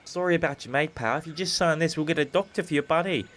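A man's voice: on the high side, a bit bright, slight background noise, close to an everyday voice. A man playing a cheeky, scheming convict who talks in matey slang in a comic story. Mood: cheerful, exasperated. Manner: matey, slangy fast-talker. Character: cheeky, scheming male convict posing as easygoing nobleman.

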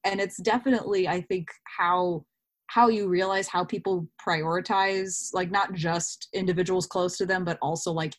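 A woman with a mid-pitched voice talks in a relaxed, conversational interview style. She slips into little imagined quotes as she explains her points. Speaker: interview participant, actor.

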